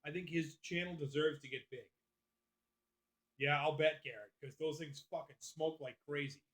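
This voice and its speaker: A man hosts a casual live stream, answering his viewers one by one in a loose, profane way. His voice sits a bit high for a man. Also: normal speed, fairly bright tone. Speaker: livestream host.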